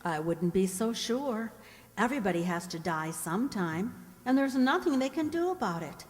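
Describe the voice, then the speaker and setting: In a reverberant hall, a woman plays an earnest young frontier woman with a fairly high voice. She is a bookish, principled elder sister who instructs firmly, in radio-drama style. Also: lively intonation, normal speed, lightly acted. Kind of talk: radio play acting.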